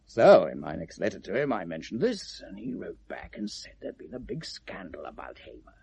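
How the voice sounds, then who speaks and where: An actor playing a gossipy, insinuating British shopkeeper in a 1970s radio drama, husky, deliberate and pointed. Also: male voice, low voice, huge pitch swings, a little mellow, no room sound, full character voice.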